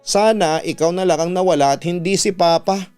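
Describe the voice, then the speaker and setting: A man's voice: high-pitched, moderate pace, a little mellow, clean tone, soft background music, pitched above the performer's own voice, natural voice. Man voicing a bitter, jealous Filipino older sister. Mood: hurt, lashing out. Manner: irritated, accusing, emphatic. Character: resentful, jealous young older sister, bitter and sharp-tongued.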